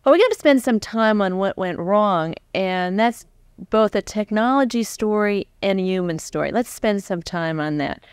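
Clear-voiced woman interviewer. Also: mid-range voice, expressive, normal speed, no echo, studio quality. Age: middle-aged. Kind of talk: conversational interviewing. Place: stage before a live audience. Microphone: large foam-covered microphone on a stand, close.

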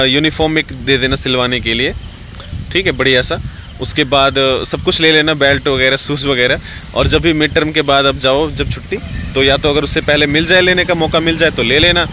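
Young guy vlogging on his phone under some trees, bright voice, reassuring advice, faint bugs in the background. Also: on the high side, slight background noise. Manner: reassuring, repeats "ठीक है" often. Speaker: vlogger giving advice to recruits. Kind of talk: casual advice vlog. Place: outdoors, green rural spot under trees. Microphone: phone selfie at arm's length.

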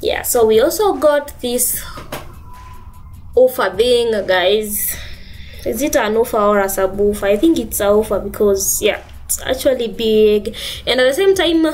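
A woman's voice: fairly high pitch, expressive, measured pace, darkish tone, soft background music. Chatty Kenyan woman vlogging at home. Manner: chatty, keeps saying "guys" and "yeah". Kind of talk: casual vlog narration. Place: living room at home.

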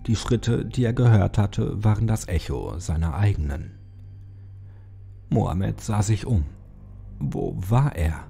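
A man reads a horror story as a dramatic audiobook. His voice is mellow and dark, with a gravelly creak. Soft music plays faintly behind him. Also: on the low side, big pitch swings, slow. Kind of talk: dramatic scripted audiobook narration. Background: background music.

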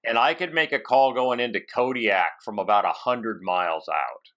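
A man in his fifties hosting a casual technical interview on a headset from a home office, haltingly thinking aloud. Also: medium pitch, a bit bright. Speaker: podcast host. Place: home office with bookshelves, on a video call.

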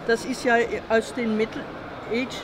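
A woman's voice: fairly high pitch, little pitch movement, unhurried. An older woman, a craftswoman exhibitor, explaining in a hesitant way with many fillers at a fair. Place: busy indoor convention hall. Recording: noisy hall ambience.

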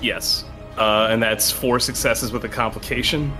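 A man's voice: mid-range voice, steady tone, normal speed, over music. A man chatting casually as a player in a tabletop game, wry, playful and sweary. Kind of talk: casual gaming chat.

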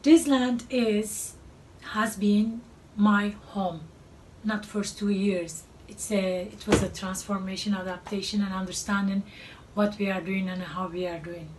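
Woman, middle-aged, Turkish accent, slow and halting, heard from across a studio, faint hum in the background. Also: mid-range voice, fairly even intonation, darkish tone. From Turkey. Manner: slow, halting, searching for words. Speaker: artist. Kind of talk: artist's presentation to camera. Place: cluttered art studio. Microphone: far camera. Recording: distant mic, faint room noise.

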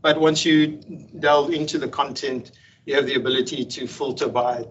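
A man presenting a technical meetup talk over slides on a video call, explaining in a relaxed way with frequent ums and restarts. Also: medium pitch, normal speed. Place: home room on a video call. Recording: dull lo-fi video call audio with faint hiss.

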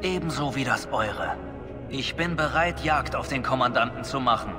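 A man's voice: medium pitch, expressive, normal speed, lightly acted. A man voicing a humbled, resolute former soldier in a video game, speaking formally and sincerely. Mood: earnest, resolute. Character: earnest male ex-soldier and poacher, humbled, resolute. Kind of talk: dramatic video game voice acting. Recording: long reverb, music behind.

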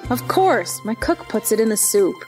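A woman's voice: a little high, sing-song, normal speed, darkish tone, faint music behind. A woman playing a brusque, bossy, ill-tempered Duchess in a storybook play, curt and snappish.

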